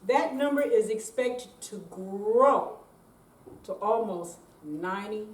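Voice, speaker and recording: An older woman giving a slow, deliberate, theatrical dramatic reading on stage. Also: medium pitch, animated. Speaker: amateur readers theater performer. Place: senior center room, live reading. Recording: echoey room, distant mic, clear noise.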